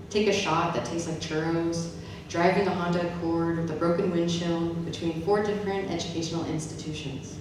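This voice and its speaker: A very echoey, noisy recording of a woman at a live poetry reading. Her voice is on the low side and comes from some distance off the mic, in the manner of a spoken-word poet. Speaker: poet and English professor reading her own poems. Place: reverberant event room with an audience.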